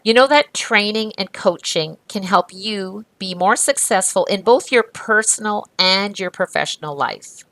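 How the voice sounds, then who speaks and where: A woman's voice: mid-range voice, lively intonation, measured pace, few pauses, a bit bright, no echo. A woman presenting a music course in upbeat, polished, scripted narration. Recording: dry studio voice-over.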